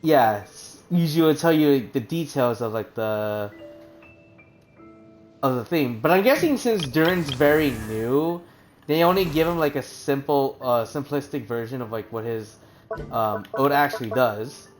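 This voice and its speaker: Chatty male game streamer with soft music. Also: medium pitch, expressive, a little slow. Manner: hesitant, many fillers and restarts. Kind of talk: casual game commentary reading menus aloud.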